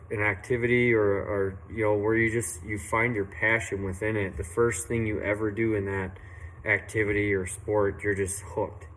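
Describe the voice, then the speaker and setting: A young man from western Illinois who owns a tree service tells how he started, in an unhurried, earnest way with many fillers and restarts. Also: on the low side, rough recording. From western Illinois, USA. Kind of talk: casual personal story to camera. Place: outdoors at a work site, doing saw maintenance.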